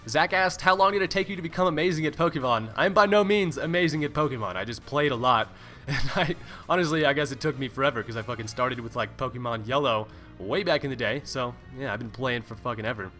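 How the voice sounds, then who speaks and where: Young male YouTuber, bright tone, laid-back and jokey, swears casually, light music bed. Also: mid-range voice, quick pace. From California. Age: young adult. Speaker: YouTuber answering viewer questions. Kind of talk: casual Q&A voice-over. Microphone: home recording mic, no webcam.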